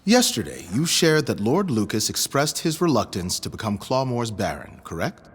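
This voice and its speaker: A man playing a formal guild master presiding sternly over an inquiry in an audio drama, with measured, courtly, probing speech. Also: mid-range voice, big pitch swings, breathy edge. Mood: probing, sceptical. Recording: long hall reverb.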